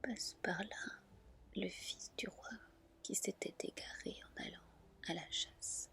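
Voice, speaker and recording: A woman reading a fairy tale as an ASMR storyteller, in a gentle, soft, deliberate whisper. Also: medium pitch, vocal fry.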